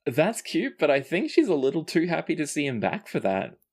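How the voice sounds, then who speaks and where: A game master improvising narration for a tabletop role-playing game in an animated storytelling manner. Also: medium pitch, a little fast.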